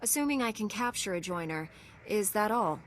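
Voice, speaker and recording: A woman's voice: mid-range voice, lively intonation, normal speed, a little hiss or hum, close to an everyday voice. A woman playing a principled, compassionate young warrior heroine in a video game, composed and earnest. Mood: businesslike. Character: principled, compassionate young female Jedi warrior, calm and resolute. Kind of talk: video game character acting.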